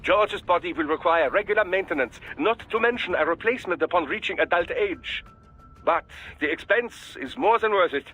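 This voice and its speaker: An actor playing a genial, fussy, erudite male scientist in a video game, speaking in a formal, wordy, over-polite and precise way with a husky voice. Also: sits high, animated, moderate pace, fairly bright tone, soft background music, poor audio. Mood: reassuring.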